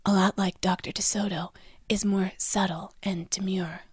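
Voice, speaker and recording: A woman voicing a timid, demure young woman for an audio drama in a soft, breathy, whispery voice. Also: medium pitch, flat delivery, moderate pace, no echo, studio quality, voice pushed down. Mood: shy, demure.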